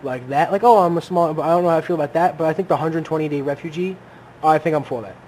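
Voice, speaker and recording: A man's voice: a little high, very fast, a little mellow, a little hiss or hum. A man co-hosting a podcast, chatting casually about politics and often stopping to restart his sentences. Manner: rambling, many restarts and hedges. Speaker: podcast co-host.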